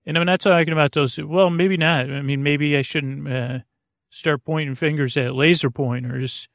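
Creaky-voiced man rambling softly through a monologue. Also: fairly high pitch, fairly even intonation, dry recording, clean studio sound. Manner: meandering, halting, stop-and-start; goofy, whimsical. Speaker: podcast host. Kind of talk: rambling casual monologue for a sleep podcast.

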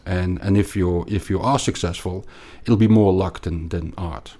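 A man's voice: low-pitched, fairly even intonation, moderate pace, dark tone. A middle-aged man from Belgium with a Belgian accent, a finance guest explaining in an interview, often repeating words and restarting. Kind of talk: conversational interview.

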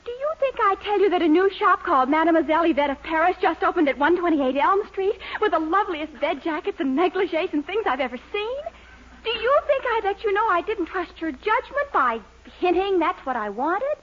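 A young woman hints and coaxes her boyfriend with theatrical sighs. Her voice sits very high. The old radio sound is dull with light hiss. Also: expressive, fairly quick. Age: young adult. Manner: coaxing, hinting, dramatic sighs. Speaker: girlfriend in a sitcom. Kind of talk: 1940s radio sitcom acting.

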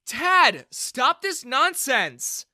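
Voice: medium pitch, very animated, a little slow, sharp tone, no echo, studio quality, voice pushed up. A performer voicing a feisty, tender-hearted young daughter, protective and earnest, in an audio story. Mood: angry.

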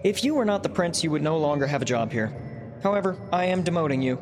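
An officious male pit boss acted by a man in a comedy audio drama, with a somewhat high voice. He delivers pompous, deadpan corporate patter with thinning patience, over light music. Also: fast talker, natural voice. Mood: exasperated, stern.

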